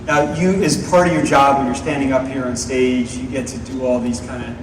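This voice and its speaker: A man's voice: medium pitch, steady tone, fairly quick, over loud music. A man giving an upbeat, emphatic keynote presentation on a stage. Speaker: presenter on stage at a company event. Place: large indoor auditorium stage, recorded from audience. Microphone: distant phone in audience picking up PA. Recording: echoey hall from far off, loud crowd, noisy.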